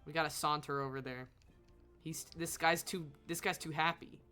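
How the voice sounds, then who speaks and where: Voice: mid-range voice, steady tone, normal speed, bright, forceful tone, clear, resonant tone, soft background music. A game streamer giving casual live commentary, talking to themselves in a playful, sweary way.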